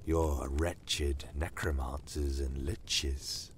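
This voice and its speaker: A man voicing a shrewd, courteous non-human commander in a fantasy audio drama, measured and politely menacing. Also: rumbling low, fairly even intonation, a little hiss or hum, voice pushed down, exaggerated voice. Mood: smoothly courteous.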